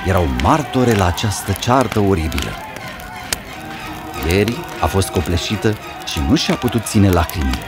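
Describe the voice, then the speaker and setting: Deep-voiced, animated male storyteller. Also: loud music behind the voice, a loud crowd. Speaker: narrator. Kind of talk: scripted fairy tale narration.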